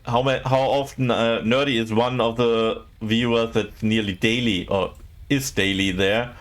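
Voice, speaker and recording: A man, speaking English as a while streaming a game and chatting casually with viewers, often pausing to search for words. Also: on the low side. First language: not English. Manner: halting, searching for words, many fillers.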